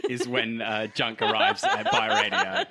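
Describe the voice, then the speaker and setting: A man acting as game master, narrating an improvised tabletop game in a casual, halting way. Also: medium pitch, wildly swooping pitch, bright, forceful tone.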